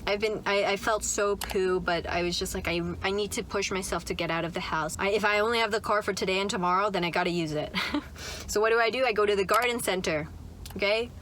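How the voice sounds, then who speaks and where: A woman's voice: mid-range voice, fairly bright tone, slight background noise, rough recording. Chatty young woman talking in a car. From Canada. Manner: chatty, upbeat, full of "like" and "right?". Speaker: vlogger. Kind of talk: casual chat to camera. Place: inside a parked car.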